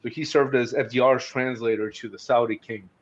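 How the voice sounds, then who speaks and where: A man discussing history conversationally on a podcast, speaking haltingly as he thinks aloud. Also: medium pitch, steady tone. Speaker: podcast host discussing books. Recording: dull, lo-fi audio.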